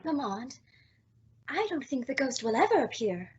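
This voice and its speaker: A woman's voice: sits high, big pitch swings, moderate pace, over loud music. A woman playing a detective's nervous, loyal lady companion in an old-time style radio mystery. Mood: frightened. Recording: dull lo-fi recording with noise.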